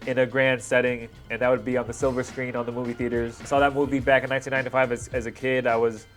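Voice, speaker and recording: A man's voice: mid-range voice, little pitch movement, quick pace. Enthusiastic man reacting over background music. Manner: enthusiastic fan talk with slangy asides. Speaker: reaction video host. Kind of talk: casual reaction commentary.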